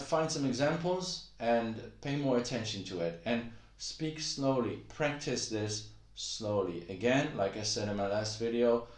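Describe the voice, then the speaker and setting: A middle-aged man teaching Chinese pronunciation in a casual video lesson, patient and repetitive, with lots of "uh" fillers. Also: mid-range voice. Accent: Taiwanese-accented Mandarin. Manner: patient, repeats himself, many fillers. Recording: echoey room.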